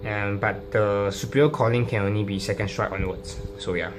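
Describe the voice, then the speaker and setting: A man informally explaining a card deck, halting and hesitant, often repeating his phrases. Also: lowish voice, fairly even intonation. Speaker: trading card deck profile presenter. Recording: clearly noisy recording with hum.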